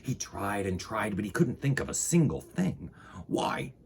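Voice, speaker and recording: A man reading a children's picture book aloud in a theatrical, deliberate storytime style. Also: on the low side, very animated, gravelly, a little mellow, a little hiss or hum. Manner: dramatic, deliberate, with sound effects and exclamations.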